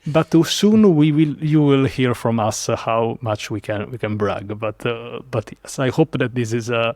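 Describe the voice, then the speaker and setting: Italian man, animated podcast guest. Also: medium pitch, moderate pace, dry recording. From Italy. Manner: animated storytelling with frequent fillers and restarts. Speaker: guest developer advocate. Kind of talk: casual podcast interview.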